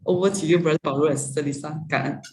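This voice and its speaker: Halting moderator in a roomy distant echo. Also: male voice, a little high, steady tone, heavy background noise. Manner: polite, halting, many fillers. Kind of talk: hosting an online meeting.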